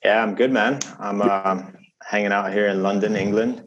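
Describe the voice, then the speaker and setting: A man from Chicago, a guest coach, chatting in a relaxed way with many restarts and fillers. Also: lowish voice, moderate pace, dull audio, a little hiss or hum. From United States, raised in Chicago. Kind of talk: casual interview chat.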